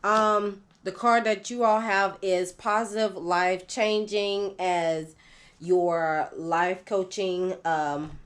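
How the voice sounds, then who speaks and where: A woman, congested and nasal from a cold, giving an unscripted tarot reading in a slow, halting way with many fillers. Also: medium pitch, steady tone. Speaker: tarot reader.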